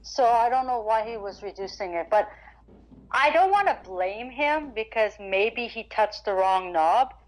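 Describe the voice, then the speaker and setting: A woman singer chats loosely in an interview. Her voice sits a little high. She repeats words and restarts sentences as she goes. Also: animated, a little slow, rough recording. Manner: chatty, frequent restarts and stammers. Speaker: guest singer-guitarist. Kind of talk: casual interview chat.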